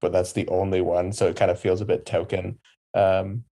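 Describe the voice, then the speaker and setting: A man discussing a film on a podcast in an earnest, conversational way. His voice is very deep and mellow. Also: flat delivery, normal speed, vocal fry. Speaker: podcast guest. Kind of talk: casual film review discussion.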